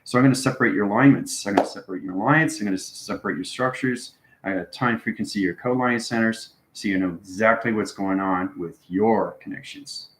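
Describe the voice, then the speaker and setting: A man's voice: on the low side, fairly quick, hardly any pauses, fairly bright tone, roomy sound. A man hosting a live stream, giving a rambling spiritual explanation in an instructional way, often checking in with short tags. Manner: instructional, frequent check-in tags like okay and right. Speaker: host answering live viewers.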